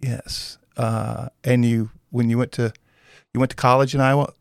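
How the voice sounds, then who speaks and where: A man's voice: medium pitch, little pitch movement, moderate pace, creaky, no room sound, studio quality. A man, hosting a casual local radio interview in a folksy, teasing way. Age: 60s. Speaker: radio show host. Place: small radio studio.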